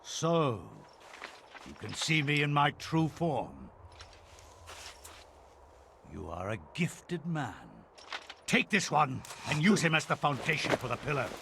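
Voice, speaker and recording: An actor voicing an arrogant demon lord revealing his true form in a video game cutscene, in loud, booming proclamations. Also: sing-song, slow pace, gravelly, pauses often, noisy background, exaggerated voice. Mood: gloating.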